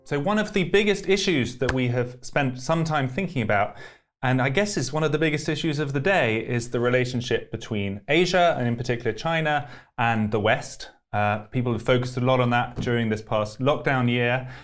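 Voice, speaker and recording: A man hosting a podcast interview, asking questions in a measured, thoughtful way with hedges. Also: mid-range voice. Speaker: podcast host.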